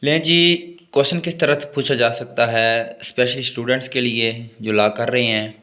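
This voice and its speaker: A man's voice: mid-range voice, fairly even intonation, moderate pace, bright, forceful tone. A man with a Pakistani accent giving an exam-focused lecture, switching between Urdu and English as he reads passages and explains them. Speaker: law lecturer.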